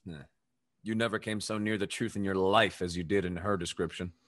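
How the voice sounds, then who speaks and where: A man's voice: low-pitched, steady tone, a little fast, fairly bright tone. A young man playing a suave, scheming rake in a period stage comedy, sly and theatrical. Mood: rueful. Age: young adult. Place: dark indoor room, video call.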